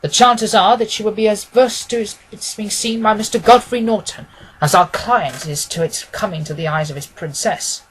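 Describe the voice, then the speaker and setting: A man reading an audiobook, voicing a confident, analytical gentleman detective with an emphatic manner. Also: sits high, normal speed, slight background noise, poor audio. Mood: assured, analytical. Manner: deductive, emphatic, self-assured.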